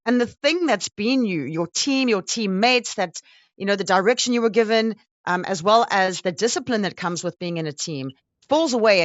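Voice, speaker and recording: Casting: mid-pitched woman interviewer, empathetic and probing, dry and slightly dull sound. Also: dry recording. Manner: warm, probing questions.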